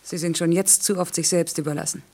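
A woman's voice: lowish voice, fairly even intonation, a little fast, warm tone, natural voice. An actress playing a stubborn, guarded young working mother, terse and blunt, in a radio play. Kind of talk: German radio play acting.

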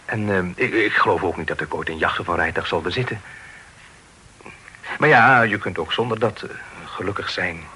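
A man's voice: sits low, normal speed, pushed and bright, slight background noise, low-quality recording. An actor playing a bashful young man in love in a period radio drama, pleading in hesitant, formal, old-fashioned phrases. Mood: nervous, hopeful. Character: earnest, bashful young suitor, hopelessly in love.

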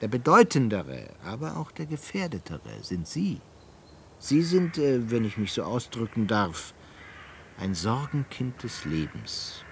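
A man's voice: mid-range voice, very animated, a little slow, gravelly, fairly bright tone, slight background noise, full character voice. An actor playing an eloquent, mocking man of letters in a literary radio drama, with pointed, theatrical rhetoric. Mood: earnest concern.

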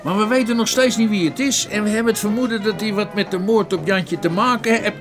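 An actor playing a blunt, eager police sergeant in a Dutch radio play, colloquial and stumbling over long words. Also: male voice, high-pitched, fairly quick, over music, close to an everyday voice. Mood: chummy, hesitant. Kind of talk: Dutch radio drama acting.

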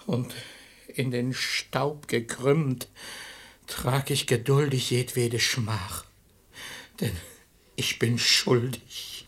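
A man's voice: mid-range voice, fairly even intonation, very slow, long pauses, a bit bright. A man playing a sanctimonious, lustful hypocrite who feigns humble piety, declaiming verse unctuously in a radio play. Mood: theatrical self-abasement. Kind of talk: German radio play of classical verse comedy.

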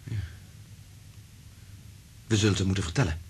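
An actor playing an anxious, principled research scientist in a Dutch radio drama, earnest and emphatic. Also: male voice, low voice, moderate pace, breathy edge. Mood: grave, resolved.

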